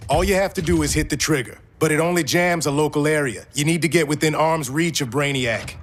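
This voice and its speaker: An actor playing a brisk, technical hero in a video game, explaining things in a matter-of-fact way. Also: male voice, a little high, slight background noise, natural voice. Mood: urgent briefing. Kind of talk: video game cutscene acting.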